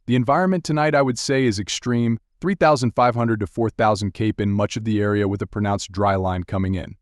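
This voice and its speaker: A man acting as a steady TV weather broadcaster with a fairly low voice, recorded dry and close. He speaks straight to viewers in live severe-weather coverage, giving direct, urgent instructions. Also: a little fast, no room sound, natural voice. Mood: serious, measured. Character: steady, urgent male TV meteorologist guiding viewers through storms.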